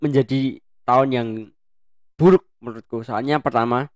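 A man's voice: mid-range voice, steady tone, moderate pace, dry recording. Playful young man chatting, dry mic. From Bandung, Indonesia. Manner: playful, laughs often, slangy. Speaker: co-host. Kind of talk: casual podcast chat.

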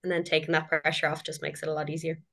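A woman gently reassuring others in a live online group Q+A. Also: sits low, level tone, quick pace.

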